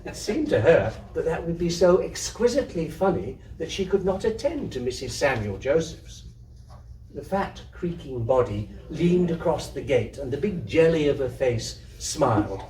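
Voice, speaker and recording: A man giving an expressive live reading of literary fiction. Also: a little high, normal speed. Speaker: reader, amateur theatre troupe member. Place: indoor community hub event. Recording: roomy, distant mic, faint noise.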